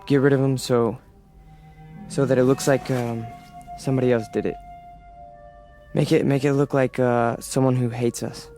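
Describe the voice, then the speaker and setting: An actor playing an intense, callous young fascist leader in a radio drama, forceful and commanding. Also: male voice, medium pitch, little pitch movement, moderate pace, long pauses, faint music behind, lightly acted. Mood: uneasy, conspiring.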